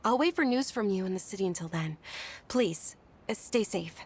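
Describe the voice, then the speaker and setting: A woman's voice: mid-range voice, animated, moderate pace, slight background noise, close to an everyday voice. A woman playing a polite, caring and reserved young noblewoman in a video game. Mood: concerned.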